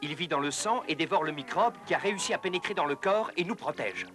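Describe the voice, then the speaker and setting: A man voicing an earnest, thoughtful doctor and researcher in an educational cartoon, speaking in a measured, explanatory way. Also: fairly high pitch, lively intonation, natural voice. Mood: explaining, thoughtful. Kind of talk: French-dubbed educational cartoon acting. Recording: music under the voice.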